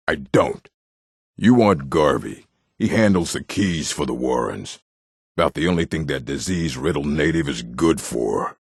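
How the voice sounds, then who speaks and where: An actor, a man, playing a surly, domineering orc with a gravelly, raspy voice and brusque delivery in a video game. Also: very deep voice, dry recording, studio quality, heavily acted. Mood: gruff, impatient. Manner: curt, brusque. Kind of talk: video game character acting.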